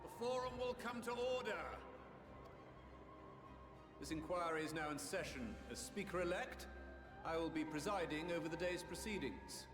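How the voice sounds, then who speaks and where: Game official, male actor: stately, courteous authority, higher male voice, long reverb. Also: expressive, close to an everyday voice. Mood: solemn. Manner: formal, measured, courteous. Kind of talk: video game cutscene acting. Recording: echoey hall reverb, music underneath.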